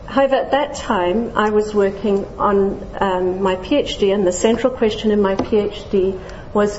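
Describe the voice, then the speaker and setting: Mellow middle-aged woman lecturing in an echoey hall. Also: mid-range voice, dull audio, a little hiss or hum. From Zambia. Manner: friendly, with light self-deprecating humor and many fillers. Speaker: scientist giving a public lecture. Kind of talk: academic lecture to a general audience. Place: lecture hall before an audience.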